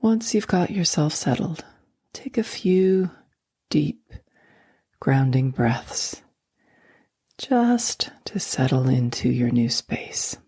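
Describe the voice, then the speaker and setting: A woman guiding a sleep meditation, speaking slowly and softly, often in a near whisper. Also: deep voice, expressive, slightly rough, dry recording. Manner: slow, soft and soothing, often whispered. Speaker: meditation guide.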